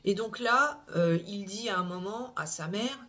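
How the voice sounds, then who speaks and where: A mid-pitched voice explaining a case step by step to an online audience. The talk is measured but hesitant, full of filler sounds, small restarts and tag words at the ends of phrases. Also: bright, forceful tone. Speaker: true-crime channel host. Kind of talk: recounting a criminal case to viewers.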